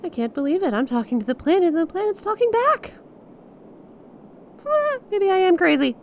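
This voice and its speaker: A woman playing an earnest, awestruck stranded space pilot in an ASMR audio roleplay, speaking expressively and haltingly. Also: extremely high pitch, slight background noise, full character voice. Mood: curious, excited. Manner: expressive, halting, conversational, with small laughs and breathy exclamations. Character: stranded young female star scout pilot, awestruck and earnest.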